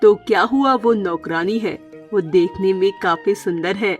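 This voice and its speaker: A woman's voice: mid-range voice, a little slow, lo-fi sound, soft background music, close to an everyday voice. Woman voicing an earnest lovestruck male parrot. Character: lovestruck bachelor male parrot, gentle employer. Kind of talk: Hindi children's cartoon story dialogue.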